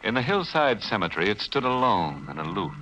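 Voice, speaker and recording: An actor playing a ghoulish, sardonic horror host, theatrical and darkly humorous in 1940s radio style. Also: male voice, fairly low pitch, sing-song, brisk, heavy background noise. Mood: ominous. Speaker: host and narrator. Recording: band-limited old radio with organ music.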